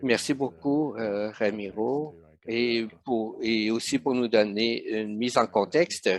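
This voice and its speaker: A man's voice: mid-range voice, steady tone, takes it slowly. A man interpreting a webinar live, speaking with frequent hesitations and self-corrections. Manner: hesitant, many fillers and restarts.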